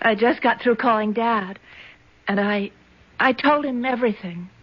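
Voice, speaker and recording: An actress playing a frightened, naive but resolute young American woman in a 1970s radio drama, speaking in a halting, emotional way. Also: fairly high pitch. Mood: nervous bluffing. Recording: dull, band-limited 1970s radio recording.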